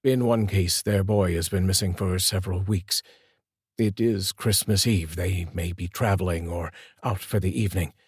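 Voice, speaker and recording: A male storyteller voicing a calm, formal police detective who speaks in a measured, soft tone. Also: low-pitched, husky, no echo, clean studio sound. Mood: somber.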